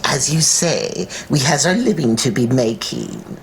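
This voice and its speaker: A woman acts out a gossipy landlady in a storybook reading, low-pitched and swooping up and down as she talks. She is folksy and deferential, with noise behind her voice. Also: very animated, a little slow, exaggerated voice. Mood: gossipy, conspiratorial. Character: Irish landlady housekeepers, gossiping, businesslike, flattering older women. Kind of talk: dramatized reading of a classic short story.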